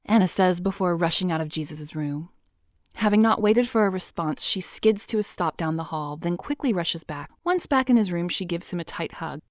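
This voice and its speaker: A woman reading scripted narration for an audio drama, in a lively, expressive way. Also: fairly low pitch, moderate pace, no echo, clean studio sound.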